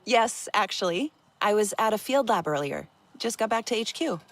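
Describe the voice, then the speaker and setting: Lively, practical woman scientist played by an actress. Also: medium pitch, moderate pace, no echo, lightly acted. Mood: breezy. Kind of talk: video game dialogue acting.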